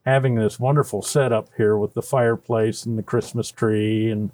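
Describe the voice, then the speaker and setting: A jolly old Santa Claus, played by a man, chats in a folksy, unhurried way. The sound is dry and close, and he exclaims gently as he answers in a casual interview. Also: lowish voice, fairly even intonation, no room sound, lightly acted. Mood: warm, sincere.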